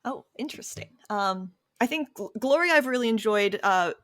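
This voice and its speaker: A woman's voice: on the high side, lively intonation, normal speed, a bit bright. Reflective woman, casual and hesitant. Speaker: actress and writer on an audio drama. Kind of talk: casual interview answers.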